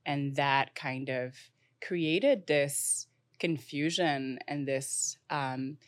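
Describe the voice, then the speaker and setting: Young woman guest from Morocco, low voice, measured and reflective, dry close recording. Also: no room sound. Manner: thoughtful, many fillers. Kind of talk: conversational interview.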